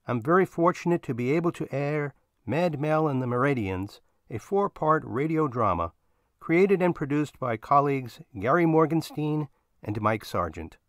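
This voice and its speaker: Dry-sounding, lively male podcast host. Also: fairly high pitch, moderate pace, no room sound. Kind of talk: scripted podcast introduction.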